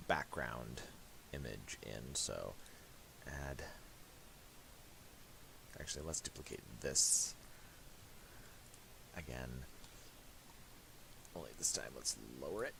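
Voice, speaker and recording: A man giving a casual, unscripted software tutorial, halting as he thinks aloud while he works. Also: very deep voice, expressive, vocal fry. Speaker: tutorial host. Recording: hissy home recording with mouse clicks.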